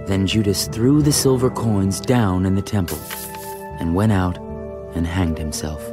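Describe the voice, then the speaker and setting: A man narrating scripture from a script in a measured, dramatic storytelling manner. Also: low voice. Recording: music behind the voice.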